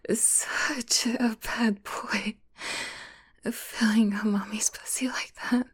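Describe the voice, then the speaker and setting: A woman performing an intimate audio roleplay, whispering and moaning in a teasing, breathy manner. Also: a little high, sharp tone. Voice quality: breathy, husky.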